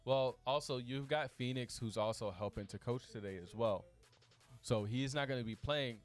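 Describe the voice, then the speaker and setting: A man's voice: mid-range voice, normal speed. Easygoing male esports caster over faint music. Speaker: esports commentator. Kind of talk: casual esports commentary chat. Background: background music.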